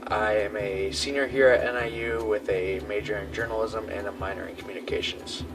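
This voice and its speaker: A twenty-two-year-old man talks easily in a low voice, recorded from a distance. Music plays clearly under him. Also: fairly even intonation, a little fast. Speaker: student interviewee. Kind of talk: casual interview answers. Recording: distant mic, music behind.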